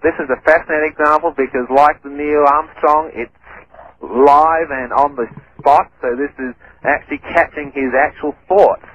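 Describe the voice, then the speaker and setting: A man's voice: a little high, fairly even intonation, normal speed, fairly bright tone, breathy edge, highs cut off. A man who stutters, a guest on a phone-in radio interview, explaining at length and often repeating words and restarting. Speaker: guest researcher. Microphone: telephone line.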